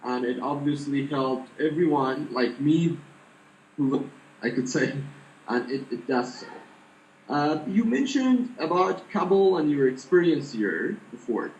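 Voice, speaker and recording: A man interviewing a guest over a call, speaking haltingly as he searches for words. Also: on the high side, lively intonation, echoey room, lo-fi sound, slight background noise. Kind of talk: online interview.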